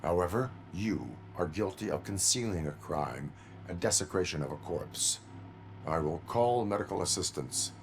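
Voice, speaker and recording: A man playing a stoic cyborg police officer in a video game, speaking in a clipped, formal, deadpan way. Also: deep voice, moderate pace, a little hiss or hum. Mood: stern, impassive. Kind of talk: video game cutscene acting.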